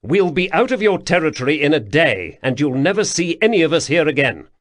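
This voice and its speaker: A man's voice: fairly high pitch, very animated, fairly quick, dry recording, clean studio sound, voice pushed up. A man voicing an imperious young prince who speaks in a commanding, clipped way.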